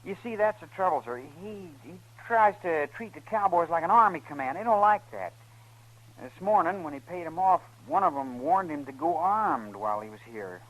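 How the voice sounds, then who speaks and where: A man's voice: a little high, big pitch swings, normal speed, poor audio. An actor playing an anxious, polite eighteen-year-old son of a cattleman in a radio western, hesitant and respectful. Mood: worried. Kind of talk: 1950s radio western acting. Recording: old radio drama recording.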